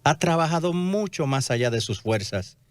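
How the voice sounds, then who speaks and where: An actor playing a grave, frank medical attendant, serious and formal, in a religious radio drama. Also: male voice, fairly high pitch, animated, moderate pace, dry recording, faint music behind, close to an everyday voice.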